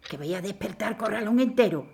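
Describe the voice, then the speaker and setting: A woman's voice: medium pitch, animated, a little fast, creaky, a little mellow, a little hiss or hum. A woman playing a practical, peacemaking wife who coaxes her family in a radio drama. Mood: coaxing. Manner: soothing, coaxing.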